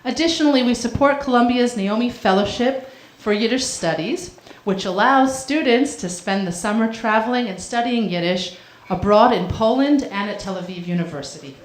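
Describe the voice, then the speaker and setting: A woman reading prepared opening remarks at a lecture, measured and earnest with a few small slips. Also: fairly high pitch, roomy sound, poor audio. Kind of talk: prepared welcome speech. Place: university lecture hall.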